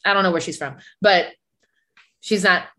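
A young woman from New Jersey whose first language is Spanish, co-hosting a casual chat with animated, emphatic talk and frequent laughs. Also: lowish voice, normal speed. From born in New Jersey, US. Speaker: podcast co-host.

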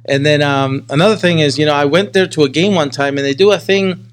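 A man hosting a podcast, interviewing casually and conversationally, often repeating words as he forms his questions. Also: fairly high pitch. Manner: halting, repeats words while forming questions. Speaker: podcast host.

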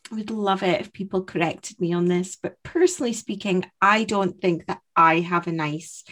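A woman's voice: fairly low pitch, moderate pace, fairly bright tone. A woman with a mild northeast Scottish accent, from Aberdeenshire, chatting casually as a show co-host. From Aberdeenshire, Scotland. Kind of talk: casual chat.

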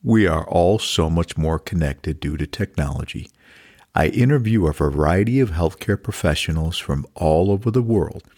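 A man's voice: low voice, expressive, moderate pace, darkish tone. A man hosting a medical podcast, asking his guest questions in a friendly, encouraging way. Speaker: podcast host, doctor. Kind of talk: interview hosting.

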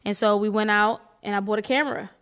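A woman in her late thirties with a high, youthful voice, chatting and telling stories colloquially as a podcast co-host. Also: fairly even intonation, quick pace, fairly bright tone, clean tone. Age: late 30s. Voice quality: high-pitched, youthful-sounding voice. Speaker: co-host, filmmaker and teacher. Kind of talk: casual podcast conversation.

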